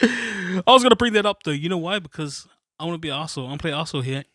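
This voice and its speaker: A man's voice: a little high, rapid-fire, a bit bright, no room sound, clean studio sound. A man co-hosting a podcast in casual, slangy, cheeky sports banter. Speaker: podcast co-host.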